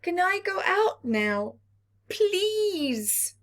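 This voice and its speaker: A woman's voice: very high voice, big pitch swings, very slow, voice pushed up, heavily acted. A woman voicing a gloomy little boy planet in a children's storybook, pleading plaintively. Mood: pleading, sad.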